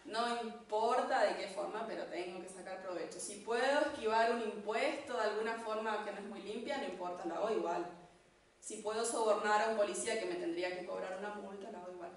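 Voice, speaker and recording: A woman from Argentina with an Argentine accent, giving a church teaching talk in an unhurried way, with fillers and restarts. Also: mid-range voice. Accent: Argentine Spanish. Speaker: church speaker. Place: church hall. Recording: echoey hall, distant mic, faint noise.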